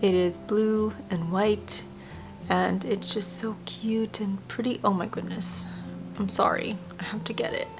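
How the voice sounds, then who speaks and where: A woman's voice: mid-range voice, level tone, measured pace. A young woman talking casually over a game tour in a chatty, rambling way, her voice a bit worn, often dropping to a near whisper. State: voice a little worn. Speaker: video creator. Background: faint game music.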